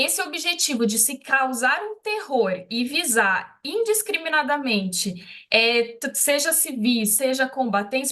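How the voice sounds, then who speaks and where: A Brazilian woman speaking as an expert guest in a live news interview, explaining in a measured way with frequent tag questions. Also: high voice, a bit bright.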